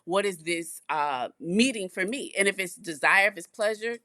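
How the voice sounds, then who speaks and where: A woman's voice: mid-range voice, lively intonation, moderate pace, bright, forceful tone, dry recording, studio quality. A woman co-hosting a podcast, talking in a reflective, casual way and often repeating words as she thinks. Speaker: podcast co-host. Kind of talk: casual chat.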